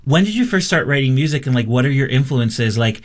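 A man's voice: medium pitch, animated, brisk. A man co-hosting a casual interview, upbeat and encouraging. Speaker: interview co-host.

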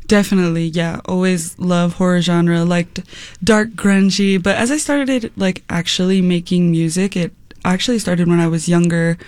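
A woman's voice: fairly low pitch, fairly even intonation, moderate pace, a little mellow, dry recording. A young woman from the United States, a musician, answering interview questions casually with lots of fillers and laughs. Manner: giggly, filler-heavy, laughs often. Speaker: musician guest.

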